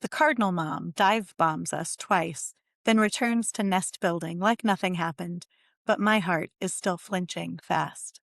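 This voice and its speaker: Woman reading poetry, measured and dry. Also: on the low side, vocal fry, fairly bright tone, no room sound. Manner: measured, deliberate. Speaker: poet reading own poem.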